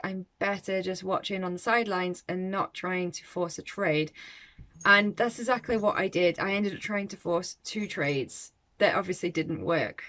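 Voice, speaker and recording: A woman's voice: medium pitch, fairly bright tone. A woman giving a casual, reflective trading recap to her viewers, chatting with small laughs. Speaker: forex trading vlogger. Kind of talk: casual trade recap to camera.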